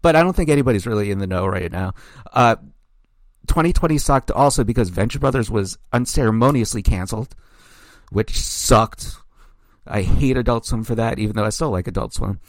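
A man who has gone hoarse, chatting as a guest on a podcast in a rambling way full of fillers. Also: fairly low pitch, a little fast, dry recording. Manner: rambling, filler-heavy, animated. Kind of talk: casual podcast chat.

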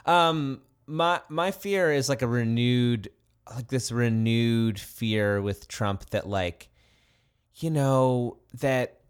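A man's voice: mid-range voice, takes it slowly, clear, resonant tone. A man co-hosting a podcast in casual conversation, often repeating words and restarting his sentences. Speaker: podcast co-host.

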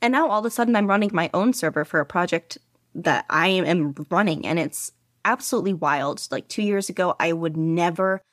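Low-voiced woman, candid and rambling. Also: no room sound, clean studio sound. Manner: rambling, candid, self-deprecating, quick laughs. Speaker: podcast guest, podfic reader. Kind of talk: casual interview chat.

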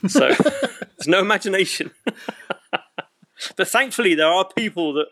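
A man's voice: high-pitched, animated, a little slow, fairly bright tone, no room sound. A British man in his fifties chatting casually as a podcast guest, telling anecdotes with wry, self-deprecating humour. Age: 50s. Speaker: podcast guest, record shop owner.

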